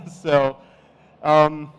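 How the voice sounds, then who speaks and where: Middle-aged man from Nigeria, conference speaker, easygoing and chatty, smooth voice. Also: fairly high pitch. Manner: relaxed, chatty, self-deprecating. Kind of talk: conference talk. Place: conference stage.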